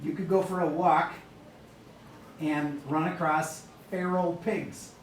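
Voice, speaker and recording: A man's voice: on the high side, fairly even intonation, takes it slowly, a little hiss or hum, low-quality recording. A male math teacher lecturing a classroom in a chatty, joking way while losing his voice. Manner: chatty, jokey, moves around the room.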